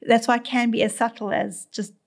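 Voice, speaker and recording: A woman's voice: fairly high pitch, level tone, fairly quick, gravelly. A female veterinarian with a South African accent explaining cat behaviour in a podcast interview, often speaking as the cat in first person and restarting her sentences. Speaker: veterinarian guest. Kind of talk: conversational expert explanation.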